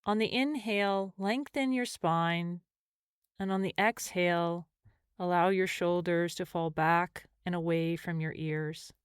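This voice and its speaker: Smooth-voiced woman guiding a meditation. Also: lowish voice, steady tone, dry recording, clean studio sound. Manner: gentle, measured, with long pauses between phrases. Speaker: mindfulness and meditation teacher. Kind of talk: guided meditation narration.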